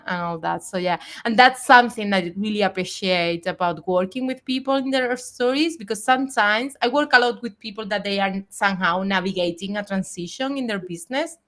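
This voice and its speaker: A woman in her forties hosts a live video chat. She sounds reflective and earnest, and talks at a middling pitch. She often pauses on fillers while she works out her thoughts. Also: a bit bright. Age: 40s. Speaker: host.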